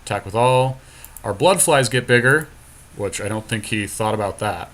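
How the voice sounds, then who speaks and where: A man's voice: on the low side, moderate pace, fairly bright tone. A man co-hosting a gaming podcast, thinking aloud analytically as he casually talks through plays in an online card game. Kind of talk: casual play-by-play strategy talk.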